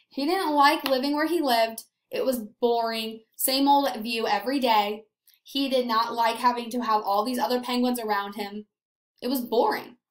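A young woman leading a lesson for small children. Her voice is high, with an animated, encouraging delivery and strong emphasis. The small room adds a clear echo. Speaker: teacher.

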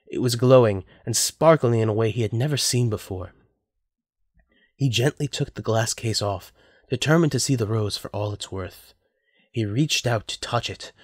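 A man's voice: medium pitch, no echo, clean studio sound. A man narrating a scripted story as the narrator of an audio drama.

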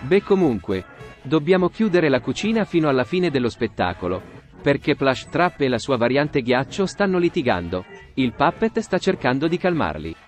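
A man's voice: medium pitch, sing-song, normal speed, faint music behind, close to an everyday voice. A man voicing an unhelpful, resigned animatronic diner attendant in an amateur cartoon fan dub. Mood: resigned, matter-of-fact.

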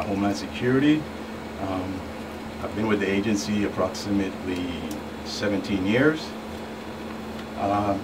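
Halting, even-toned low-voiced man. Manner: halting, formal. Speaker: guest federal agent. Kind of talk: formal introductory radio remarks. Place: small radio studio. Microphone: boom-arm studio microphone. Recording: slightly distant, clear room hum.

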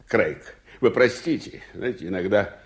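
Excitable old-soldier uncle, swooping delivery. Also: male voice, lowish voice, unhurried. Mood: apologetic, courteous. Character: excitable uncle, proud veteran of frontier wars. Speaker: radio drama actor. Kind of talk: 1980s Soviet radio theatre acting.

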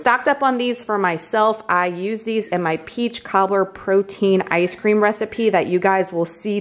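A woman chatting casually through a grocery haul in her kitchen, upbeat and talking straight to viewers. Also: on the low side. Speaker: vlogger showing a grocery haul. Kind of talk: casual chat. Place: home kitchen, indoors.

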